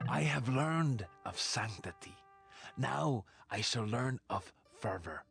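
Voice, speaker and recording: A man's voice: mid-range voice, animated, slow pace, gravelly, fairly bright tone, soft background music, full character voice. A man playing a studious, proud monk in a video game, with a formal, earnest delivery. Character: studious monk proudly reporting his religious learning. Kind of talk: scripted video game voice acting.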